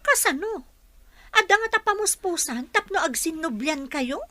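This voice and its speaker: A woman's voice: very high-pitched, animated, moderate pace, sharp tone, slight background noise, full character voice. A woman with an Ilocano Filipino accent playing a frank confidante who advises her troubled friend in a radio drama. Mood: reproachful.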